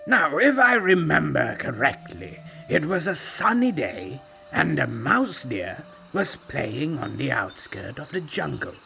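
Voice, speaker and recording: A proud, ancient tiger, played by a man, tells a storybook tale in a gravelly, unhurried voice. His delivery swoops up and down with theatrical relish. Loud music plays behind him throughout. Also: on the high side, very animated, slight background noise. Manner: theatrical and self-satisfied. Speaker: narrator. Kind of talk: scripted storybook narration. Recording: narration over loud music.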